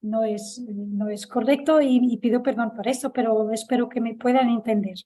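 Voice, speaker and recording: A woman from Portugal speaking with a Portuguese accent, giving a halting panel talk full of fillers and restarts. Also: a little high, steady tone, warm tone. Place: Lisbon.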